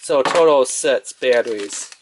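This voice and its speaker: A man's voice: mid-range voice, moderate pace, background noise. A man giving casual, off-the-cuff commentary as he reviews a toy in an unboxing video. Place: indoors at a table.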